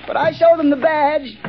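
A man's voice: extremely high pitch, steady tone, slow, soft background music, exaggerated voice. An actor playing an unhinged, stammering and giggling male subway night watchman in a 1930s radio drama. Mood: giggly. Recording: muffled 1930s radio recording with hiss.

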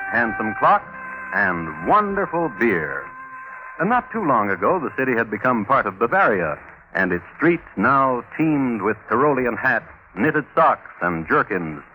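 A man hosting and narrating in 1950s radio crime storytelling style, wry and measured with theatrical pauses. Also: mid-range voice, sing-song, music in the background, low-quality recording. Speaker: host and narrator. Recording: old radio recording with faint noise.

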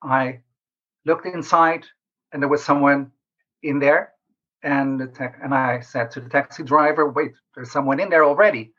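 A man answering interview questions as a researcher, explaining in a measured way with pauses mid-sentence. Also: medium pitch, steady tone, bright, forceful tone. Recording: slightly dull lo-fi call audio.

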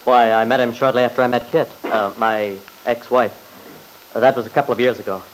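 A man plays an earnest young father giving testimony in an old radio drama. He is respectful and a touch hesitant, with an average-pitched voice. Faint noise marks the aged recording. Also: little pitch movement, brisk, close to an everyday voice. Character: earnest, polite young father on witness stand. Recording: noisy vintage radio recording.

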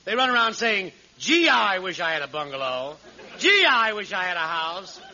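Shrill brash radio-comedy contractor. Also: male voice, very animated, moderate pace, bright, forceful tone, full character voice. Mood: wisecracking. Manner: blustery, wisecracking. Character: brash fast-talking crooked building contractor, male. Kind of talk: 1940s radio comedy acting. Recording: muffled vintage 1940s radio with hiss.

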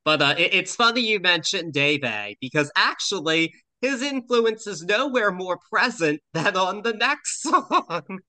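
Bright-voiced man co-hosting a podcast. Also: high-pitched, very animated, measured pace, hardly any pauses, no echo. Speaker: podcast co-host. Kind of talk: casual music discussion.

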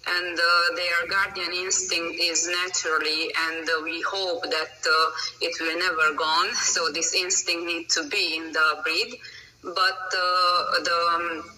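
A woman from Romania, a dog breeder answering interview questions in halting English full of pauses, fillers and grammar. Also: on the low side, flat delivery, sharp tone, far from the mic, faint music behind, poor audio.

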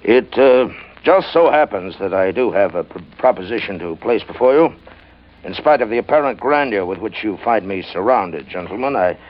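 A shady, hard-up gentleman yacht owner with grand airs. His voice sits fairly low, and he talks in flowery, hesitant phrases. It is vintage radio drama on a dull, narrow-band recording. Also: lively intonation, normal speed. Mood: evasive, grandiose. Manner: pompous, flowery, hesitant hemming. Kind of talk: old-time radio drama acting.

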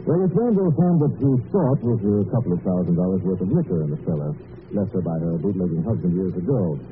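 Deliberate, mocking hero of the shadows; lively inflection, tinny old radio sound. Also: male voice, low-pitched, over music. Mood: relaxed explaining. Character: mocking shadowy male crime-fighter with a sinister laugh. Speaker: hero detective. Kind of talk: 1940s radio acting. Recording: thin, noisy old radio broadcast.

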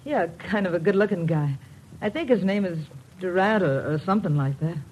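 A woman's voice: lowish voice, animated, brisk, a little mellow, close to an everyday voice. Actress as a wary, streetwise nightclub girl. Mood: guarded. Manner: slangy, guarded, anxious. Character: wary, streetwise young female nightclub entertainer. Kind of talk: 1950s radio drama acting. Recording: faintly noisy old radio recording.

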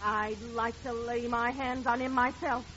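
A woman's voice: on the high side, level tone, unhurried, fairly bright tone. An actress playing a feisty, scolding middle-aged American wife and mother in a 1940s radio comedy. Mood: indignant. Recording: muffled vintage radio recording.

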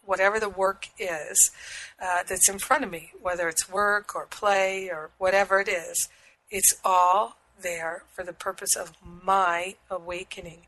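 A woman hosting a spiritual radio talk, her voice husky and hoarse from a cold, speaking slowly with light laughs. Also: on the low side, steady tone, bright, forceful tone, slight background noise, rough recording. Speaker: radio show host and spiritual teacher.

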